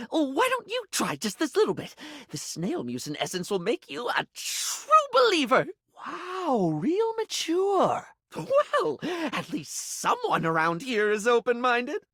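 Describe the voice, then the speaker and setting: An actor playing a flamboyant, scatterbrained, beauty-obsessed dandy in a video game, with a camp, melodramatic and affected way of talking. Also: male voice, very high voice, fairly bright tone, dry recording, clean studio sound, heavily acted. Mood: theatrical, haughty, then huffy. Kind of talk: expressive video game character acting.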